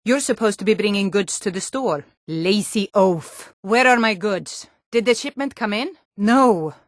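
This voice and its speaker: An actress voicing plain-spoken Nord townswomen, guards and traders in scripted fantasy video game dialogue. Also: female voice, mid-range voice, animated, a little slow, no echo, studio quality, close to an everyday voice. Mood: irritated.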